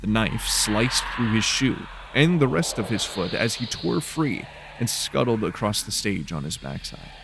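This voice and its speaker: A man's voice: on the low side, sing-song, unhurried, creaky, few pauses, background noise. A man narrating scripted horror fiction in a dramatic storytelling style.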